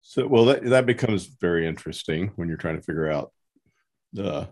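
A man's voice: deep voice, lively intonation, normal speed, darkish tone. A man hosting a casual interview, putting his questions in an informal, loosely phrased way. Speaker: interview host.

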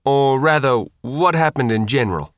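Man voicing a calm, commanding flotilla commodore. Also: mid-range voice, normal speed, no room sound, studio quality, natural voice. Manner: informal, direct command. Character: calm, authoritative male flotilla commodore, easygoing leader. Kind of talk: audiobook character dialogue.

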